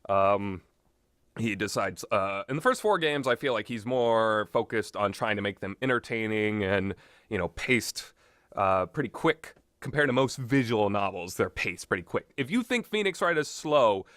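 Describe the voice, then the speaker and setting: A man's voice: fairly low pitch, fairly bright tone, no echo, studio quality. A man giving a casual, unscripted, rambling review of visual novels, blunt and opinionated, often backtracking and going off on tangents.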